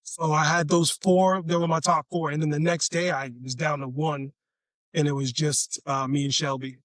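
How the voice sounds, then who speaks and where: Man, raspy voice, high-pitched, dry close sound, laid-back storyteller, from Chicago. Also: little pitch movement, normal speed, a bit bright, dry recording. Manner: repeats phrases, laid-back and playful. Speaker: podcast guest. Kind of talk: casual storytelling chat.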